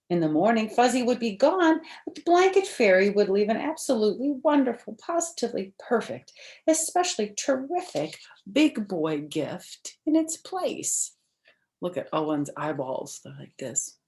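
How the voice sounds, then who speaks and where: An older woman reading a picture book aloud to children, expressive and deliberate, with friendly asides to young listeners. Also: mid-range voice. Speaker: storyteller for children. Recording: echoey room.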